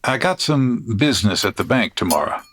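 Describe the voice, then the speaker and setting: A man playing a calm, courteous stranger in an old west comedy audio drama. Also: fairly low pitch, moderate pace, slight background noise. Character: polite, calm mysterious male stranger.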